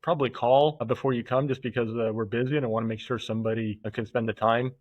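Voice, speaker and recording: A man speaks as a podcast guest, halting and reflective, circling back and restarting as he thinks aloud. His voice sits at a middling pitch and sounds dry and close. The recording is dull and slightly muffled. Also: fairly even intonation, a little mellow, no echo. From United States. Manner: thoughtful, halting, with many fillers and restarts. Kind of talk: casual conversational interview.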